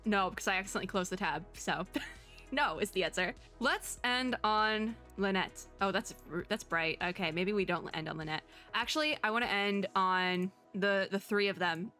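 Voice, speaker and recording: A woman gaming vlogger giving casual reaction commentary to viewers in a giggly, excitable, rambling way. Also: mid-range voice, normal speed, soft background music.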